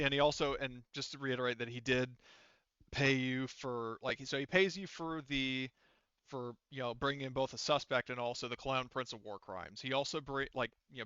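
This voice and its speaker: Male game master, clear and bright, rambling off the cuff, slightly dull close voice-chat sound. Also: mid-range voice, no room sound. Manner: halting, rambling, repeats himself. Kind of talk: casual narrating of an online tabletop game. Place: online voice chat.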